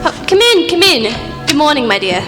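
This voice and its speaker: A woman's voice: high-pitched, very animated, moderate pace. A woman playing a genteel, sentimental English lady doctor and storyteller in a radio play, recorded in an echoey hall. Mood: kindly, curious. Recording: echoey hall, music behind.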